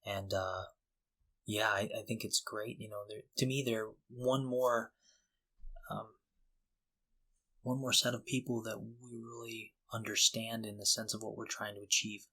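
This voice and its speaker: A man's voice: fairly low pitch, fairly even intonation, unhurried, pushed and bright, rough recording. A middle-aged man co-hosting a podcast in a casual, reflective and earnest conversational style. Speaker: podcast co-host.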